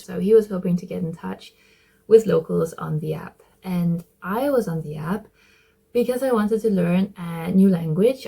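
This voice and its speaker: A woman from Denmark hosting her own vlog, narrating a measured, clear personal story as a voice-over. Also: lowish voice, dark tone. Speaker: vlogger, channel host.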